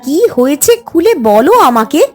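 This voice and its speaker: An actress playing a conscientious, distressed village wife in a cartoon, with a pleading, reproachful way of talking. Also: female voice, high voice, very animated, moderate pace. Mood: alarmed, scolding. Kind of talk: dubbed cartoon dialogue. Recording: music under the voice.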